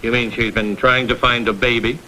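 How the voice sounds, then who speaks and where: An actor playing a proud, blunt, self-made father in a vintage crime drama, wary and curt with a skeptical, forceful manner. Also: medium pitch, steady tone, brisk, low-quality recording. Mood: suspicious, impatient. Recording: vintage TV soundtrack, faint noise.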